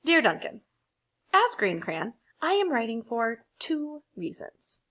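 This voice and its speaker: A contented crayon from a picture book, read by a woman, speaks with formal courtesy. The voice is fairly high, with melodic, varied pitch. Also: sing-song, slow pace, pushed and bright, breathy edge, heavily acted. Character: contented, polite green crayon, formally congratulatory.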